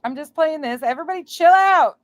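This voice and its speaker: A woman's voice: sits high, expressive, brisk, no echo. A woman hosting a livestream, reacting and chatting to viewers in a blunt, indignant, sweary way. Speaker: livestream host.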